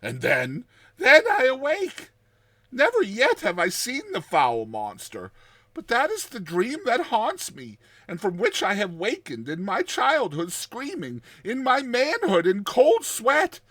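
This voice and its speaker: A man's voice: very high voice, wildly swooping pitch, slow pace, a bit bright, no echo, pitched above the performer's own voice, exaggerated voice. A man playing a haunted, terrified man on the edge of madness in a dramatized horror story, speaking intensely and drawing out his words. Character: haunted, terrified man recounting a recurring nightmare, near madness.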